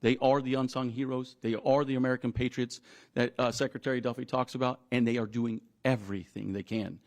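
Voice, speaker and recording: A man speaking as a union leader at a press conference, emphatic and deliberate, repeating phrases for stress. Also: mid-range voice, steady tone. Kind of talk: press conference statements and answers.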